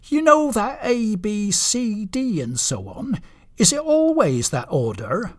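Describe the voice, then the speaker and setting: Storybook reindeer played by a man: proud, pedantic, argumentative, raised pitch, husky edge. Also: very animated, takes it slowly, exaggerated voice. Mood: curious. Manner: indignant, pedantic.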